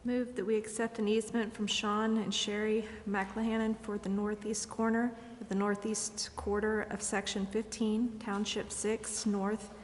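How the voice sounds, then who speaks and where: An American councilwoman speaks in a very even, mid-range voice at a formal meeting. The room rings around her, with faint noise. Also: mid-range voice, a little slow, few pauses, long reverb tail. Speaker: city council member. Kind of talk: formal meeting remarks and motions. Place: council chamber.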